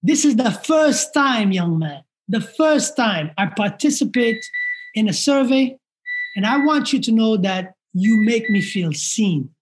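A man voicing an old Jamaican woman with a put-on Jamaican accent, speaking slowly and with feeling. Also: high-pitched, lively intonation. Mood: heartfelt. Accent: Jamaican, imitated.

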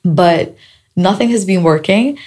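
A woman with a slightly low voice hosts her solo podcast, seated at a microphone. Her voice is raspy and dry right now. She talks in a chatty, reflective way, with small asides and jokes. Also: unhurried, warm tone. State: raspy, dry voice after a week of celebrating. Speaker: podcast host. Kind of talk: casual solo podcast talk.

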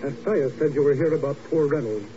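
An actor playing a brisk, self-assured, dismissive scientist doctor in a 1950s radio drama, with clipped and pompous formal delivery. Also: male voice, a little high, close to an everyday voice. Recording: muffled, faintly noisy 1950s radio.

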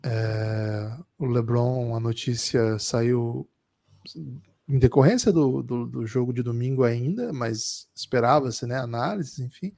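A man with a gravelly, dark voice, recorded dry and close. He talks slowly and thoughtfully in a casual sports podcast. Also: medium pitch, dry recording. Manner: measured, thinking aloud with many pauses. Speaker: podcast co-host.

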